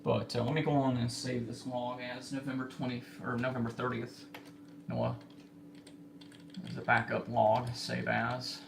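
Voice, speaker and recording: Gravelly American man on a noisy livestream. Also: mid-range voice, flat delivery. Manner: halting, thinking aloud while busy. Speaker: livestreamer spotting severe weather. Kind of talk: casual livestream commentary while logging warnings. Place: indoor room with computer and radio equipment. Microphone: phone set in a fixed spot. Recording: noisy amateur livestream with room hiss.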